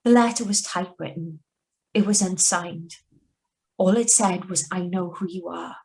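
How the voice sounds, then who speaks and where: A woman's voice: on the low side, normal speed, creaky, darkish tone. A woman acting an anxious young woman living under a false identity, in a radio suspense play read over a video call. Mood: haunted. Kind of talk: 1940s-style radio suspense narration and dialogue. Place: remote live reading over video call.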